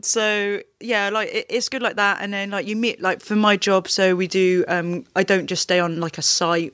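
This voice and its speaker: A woman's voice: on the low side, a bit bright, no echo. Woman chatting, lots of likes and laughs, dry close sound. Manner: chatty, laughs often, many likes and fillers. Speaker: rugby player and plumber. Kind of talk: casual interview chat.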